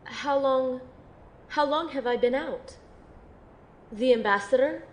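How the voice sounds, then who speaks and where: Woman acting a halting, high-voiced wounded young woman. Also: lo-fi sound, noisy background. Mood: confused, shaken. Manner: halting, hesitant delivery. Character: wounded, frightened young woman, weary and vulnerable. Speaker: voice actor playing narrator and lead character. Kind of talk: amateur audio drama acting and narration.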